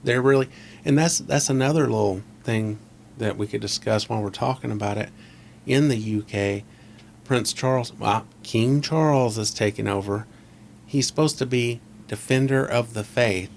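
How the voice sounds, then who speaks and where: A man's voice: medium pitch, normal speed, gravelly. Podcast host, male, scratchy voice, close-miked, opinionated and rambling. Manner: opinionated, rambling, asks leading questions. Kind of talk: casual chat. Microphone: close desk mic on a boom arm.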